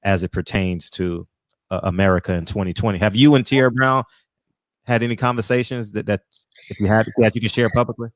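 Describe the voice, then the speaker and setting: A man hosting a livestreamed interview, asking friendly, halting questions and giving short affirmations. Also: lowish voice, dry recording. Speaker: interviewer, host.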